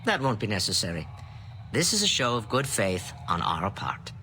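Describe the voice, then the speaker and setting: A man voicing a grave alien councilor in a video game, formal and deliberate. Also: fairly low pitch, very animated, bright, forceful tone, slight background noise. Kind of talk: video game cutscene acting.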